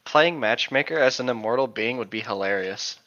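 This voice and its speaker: A man's voice: mid-range voice, brisk, fairly bright tone. A man co-hosting a podcast with casual, joking remarks, recorded in slightly muffled lo-fi sound. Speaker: podcast co-host reading aloud and commenting.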